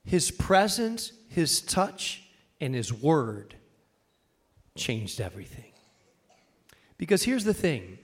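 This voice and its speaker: A man preaching a sermon as a pastor, speaking in short, weighted phrases with dramatic pauses and emphatic repetition. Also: a little high, huge pitch swings, husky.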